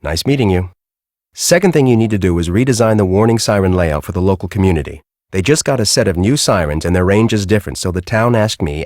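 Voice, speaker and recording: An actor playing a grumpy, bossy middle-aged storm-chasing professor in a video game, with an American accent and a brusque, sarcastic, exasperated manner. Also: male voice, lowish voice, a little mellow, dry recording, clean studio sound. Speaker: video game character, research team leader.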